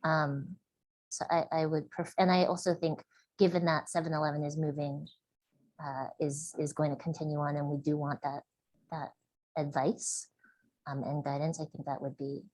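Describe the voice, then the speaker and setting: A woman trustee speaking at a board meeting, halting, often repeating and restarting her words. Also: low voice, level tone, a little mellow, no echo, poor audio. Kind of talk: meeting discussion.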